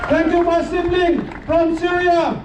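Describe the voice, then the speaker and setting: A man from West Papua shouts an impassioned speech at a street protest, his voice very high and held almost on one note. He is heard from a distance through a roomy, echoing sound with faint noise behind. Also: flat delivery, unhurried, over a noisy crowd. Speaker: protest speaker. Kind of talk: rally speech. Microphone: handheld microphone on speakers.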